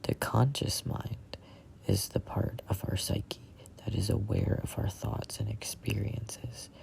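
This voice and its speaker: A person in their twenties reading a book aloud as a whispered ASMR read-along, in halting, deliberate phrases. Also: mid-range voice, flat delivery, creaky, a little mellow, rough recording. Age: 20s. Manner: halting, deliberate phrasing with stumbles over words. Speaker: reader of a self-help book.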